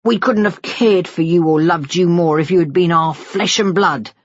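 A woman acting tired ageing father, husky, low, remorseful, pleading and sighing, audiobook drama, muffled sound. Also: moderate pace, dry recording. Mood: pleading, emotional.

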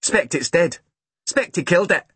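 A man's voice: a little high, expressive, normal speed, a bit bright, breathy edge, dry recording, voice pushed up, full character voice. A man voicing a small, stolid, bossy little boy in a children's storybook, blunt and matter-of-fact.

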